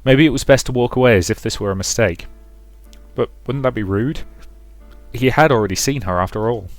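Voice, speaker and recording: A man's voice: medium pitch, fairly quick, no room sound, soft background music. A man doing a let's play, reading a visual novel aloud and dropping in casual, chatty asides.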